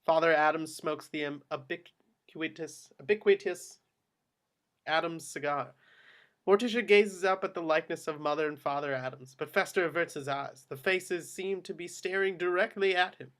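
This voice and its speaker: Higher-voiced man reading screenplay directions. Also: moderate pace, a bit bright. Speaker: stage-direction narrator. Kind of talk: scripted narration at a table read.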